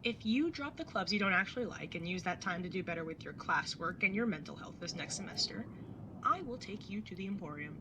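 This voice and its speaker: A woman's voice: on the low side, animated, brisk, background noise. A young woman playing a wry, exasperated older sibling who narrates a road trip in an audio drama, with a dry, sarcastic way of talking. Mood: gently conciliatory. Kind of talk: audio drama acting and first-person narration.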